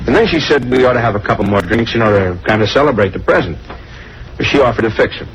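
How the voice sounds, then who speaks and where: Actor as a fast-talking, evasive American salesman. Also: mid-range voice, expressive, natural voice. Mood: sheepish, explaining. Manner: rambling, colloquial, self-justifying. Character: nervous married traveling watch salesman, evasive, defensive. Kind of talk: 1950s radio crime drama acting. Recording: dull, noisy old radio recording.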